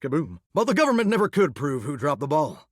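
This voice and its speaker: A man's voice: fairly high pitch, sing-song, quick pace, no room sound, studio quality. An actor voicing a vain, melodramatic male shower spirit who dreams of singing stardom, talking in a folksy, theatrical drawl for a video game. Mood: folksy, chatty. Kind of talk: video game character dialogue.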